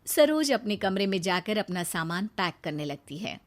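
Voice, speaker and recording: A woman narrating a moral story in a calm, plain storytelling manner. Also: mid-range voice, moderate pace.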